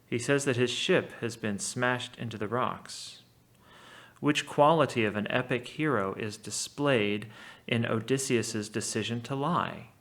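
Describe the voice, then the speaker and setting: Creaky-voiced man reading aloud. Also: medium pitch, a bit bright. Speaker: teacher reading a story aloud. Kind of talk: read-aloud storytelling with casual lesson commentary.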